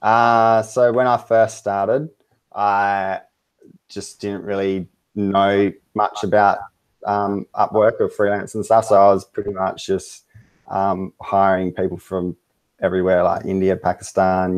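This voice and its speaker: An Australian man talking casually as an interview guest over a video call, relaxed and full of fillers. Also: deep voice. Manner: relaxed, easygoing, lots of fillers and laughs. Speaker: interview guest, web design business owner.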